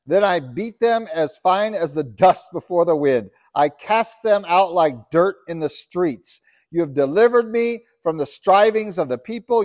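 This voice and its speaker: A man's voice: sits high, darkish tone, dry recording. A middle-aged man from Uganda preaching a sermon as pastor, earnest, rising to emphatic scripture reading. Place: church.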